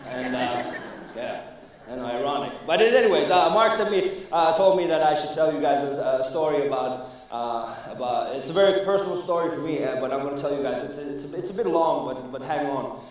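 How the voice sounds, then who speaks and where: A man's voice: fairly high pitch, a little fast. A man from Iran doing a live stand-up comedy set, chatting with the crowd in a rambling way with lots of fillers and restarts. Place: comedy club stage before a live audience. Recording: echoey room, voice from far off.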